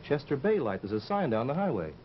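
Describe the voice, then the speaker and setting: An actor playing a flippant, curious young artist type with a breezy, musing manner in an old-time radio drama. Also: male voice, medium pitch, sing-song, fast talker, lightly acted. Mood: puzzled, polite. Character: flippant, curious male artist, unbothered by danger. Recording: muffled, noisy old radio recording.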